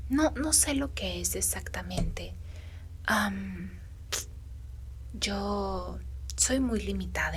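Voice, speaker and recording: A woman performing a whispered ASMR roleplay as a gentle, caring faun, breathy and tender as she speaks to the listener. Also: medium pitch, slight background noise. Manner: slow, soothing, addressing the listener tenderly. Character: gentle, caring female faun, a magical companion.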